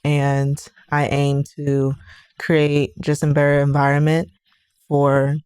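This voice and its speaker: A woman's voice: low voice, completely flat delivery, slow pace, a little mellow, clear, resonant tone, no room sound, clean studio sound. A young woman from Pittsburgh, a college student guest, speaking earnestly and steadily. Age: young adult. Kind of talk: casual interview.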